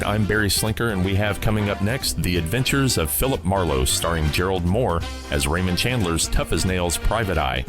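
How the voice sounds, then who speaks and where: A man hosting a radio show with scripted introductions. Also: low-pitched. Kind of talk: scripted narration.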